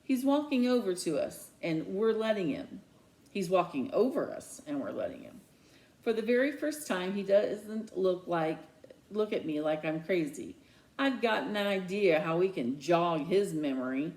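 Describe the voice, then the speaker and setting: A man's voice: sits high, expressive, a little slow, a little mellow, poor audio. A woman voicing a resentful, sarcastic middle-school boy in a children's novel read aloud. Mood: pleading, scheming. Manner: indignant, sarcastic.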